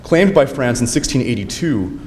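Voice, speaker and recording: A young man giving an academic lecture in a friendly, measured way, with occasional fillers. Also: medium pitch, lively intonation, a little mellow. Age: young adult. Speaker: lecturer, doctoral candidate. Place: lecture hall. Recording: lecture hall reverb, faint noise.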